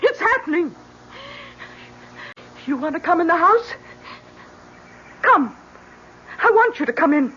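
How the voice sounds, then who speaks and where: An actress playing a bitter, frightened, superstitious young wife in a radio horror drama, intense and urgent. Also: female voice, very high voice, sing-song, slow, long pauses, pushed and bright, exaggerated voice. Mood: haunted, urgent. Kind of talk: 1970s radio drama acting. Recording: dull, noisy old radio recording.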